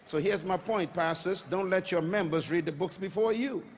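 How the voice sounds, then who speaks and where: An older man with a Bahamian accent preaching a sermon on stage into a handheld mic, calling on the crowd to answer back and rising to shouts. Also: sits high, moderate pace, slight background noise. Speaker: pastor. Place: large auditorium stage. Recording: long hall reverb.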